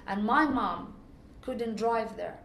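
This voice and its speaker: A woman's voice: on the high side, takes it slowly, gravelly, a bit bright. An Egyptian woman speaking English with an Egyptian accent, earnestly recounting her experiences in a conversational interview and often restarting to find her words. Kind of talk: conversational personal account. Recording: echoey room with faint ambient noise.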